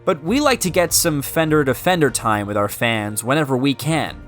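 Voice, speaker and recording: A man plays a young male race car hero, friendly and sure of himself. He sounds easygoing and lively in pitch, a storybook character with music underneath. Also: moderate pace, close to an everyday voice. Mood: modest.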